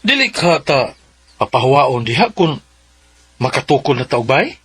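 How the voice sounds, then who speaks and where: An actor with a Cebuano accent playing an agreeable, modest husband in a radio drama. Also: male voice, mid-range voice, very animated, normal speed, fairly bright tone, slightly rough, heavily acted. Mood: questioning. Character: agreeable husband planning a modest family house.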